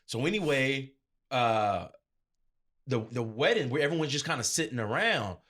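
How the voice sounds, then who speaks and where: A man's voice: medium pitch, lively intonation, moderate pace, fairly bright tone. A man speaking as an interview guest and event DJ, telling stories in a casual, emphatic, slangy way. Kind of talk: casual anecdotal storytelling.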